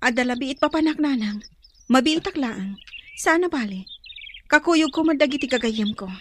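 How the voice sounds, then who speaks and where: A woman's voice: sits high, animated, moderate pace, a bit bright. A woman playing a determined young village daughter in a radio drama, with an Ilocano Filipino accent. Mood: reassuring. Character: secretive, determined young daughter torn over her father. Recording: noisy background with birdsong effects.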